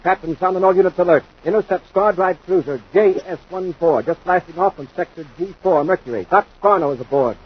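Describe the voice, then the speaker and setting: A man's voice: high voice, fairly quick, hardly any pauses, breathy edge. An American man playing a decisive, authoritative space patrol commander in 1950s radio adventure style, with clipped delivery. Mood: commanding. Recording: dull, noisy 1950s radio recording.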